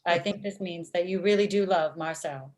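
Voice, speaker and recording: A woman playing a blunt female matchmaking robot with formal, deadpan phrasing in a comedy table read over video call. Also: lowish voice, normal speed, lightly acted. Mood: measured, frank. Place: indoor room at home.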